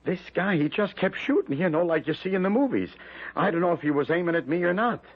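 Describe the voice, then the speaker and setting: An actor playing a plain-spoken, stubborn, naive working-class husband in old-time American radio drama. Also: male voice, high-pitched, expressive, quick pace, slightly rough, exaggerated voice. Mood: rattled, defensive. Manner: plain-spoken, mildly argumentative. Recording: dull lo-fi vintage radio.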